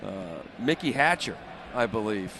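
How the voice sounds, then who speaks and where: A man's voice: medium pitch, expressive, moderate pace, a crowd in the background. A man giving informal, explanatory analysis during a live baseball radio broadcast. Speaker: color analyst. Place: stadium broadcast booth. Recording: faint stadium noise behind a broadcast.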